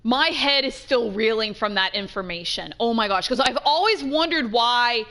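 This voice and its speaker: Bright and high, a woman talks over faint game music as a let's play host. Her commentary is casual and excitable, often doubling up phrases for emphasis. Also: moderate pace. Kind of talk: casual gameplay reaction commentary.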